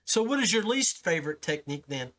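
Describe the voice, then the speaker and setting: Higher-pitched man hosting a casual podcast. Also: sing-song, fast talker, pushed and bright. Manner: informal, addresses listeners and guest as guys, buddy, brother. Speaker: podcast host interviewing a guest. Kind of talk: casual talk show hosting.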